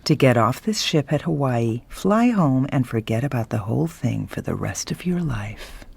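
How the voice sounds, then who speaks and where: Sly rich wife, teasing and seductive, bit of fry in her voice, radio play. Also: very deep voice, expressive, normal speed, darkish tone, exaggerated voice. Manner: arch, teasing, sultry. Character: glamorous, seductive, scheming younger wife of wealth. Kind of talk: radio drama acting.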